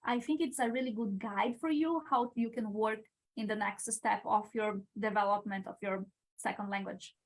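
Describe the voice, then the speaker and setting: A young woman in her twenties with a Brazilian Portuguese accent, answering questions on a video call and pausing often to find her words. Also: medium pitch, fairly even intonation. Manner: hesitant, searching for words with many uh's and restarts. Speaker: guest, international engineering student. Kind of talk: live-stream Q&A answers.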